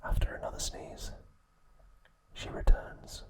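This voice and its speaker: A man narrating trivia as an ASMR host, telling it softly and without hurry. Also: a little slow, low-quality recording.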